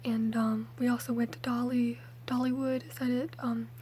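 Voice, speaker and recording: Soft whispery teen girl doing rambly asmr, highish voice, pretty flat, some background noise. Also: moderate pace. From Mississippi, USA. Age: late teens. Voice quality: soft, hushed, often whispered. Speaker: ASMR video creator. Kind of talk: ASMR, rambling personal storytelling.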